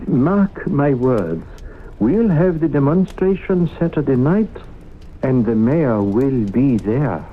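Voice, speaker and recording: An actor playing a courteous, mysterious professor who speaks with measured assurance in an old radio drama. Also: male voice, medium pitch, lively intonation. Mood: calmly confident. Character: courteous, mysterious professor claiming to raise the dead. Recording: tinny, noisy old radio broadcast recording.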